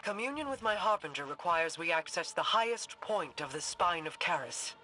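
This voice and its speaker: An actress playing a regal, composed queen in a game, speaking in a measured, formal, commanding way. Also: fairly low pitch, animated, bright, forceful tone, a little hiss or hum, rough recording. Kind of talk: scripted game dialogue.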